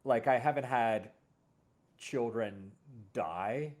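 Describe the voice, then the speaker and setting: A man, a show host and writer, chatting casually in a halting way, repeating words and often adding "you know". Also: mid-range voice. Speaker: series creator and show host. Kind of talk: casual conversation about a fiction series.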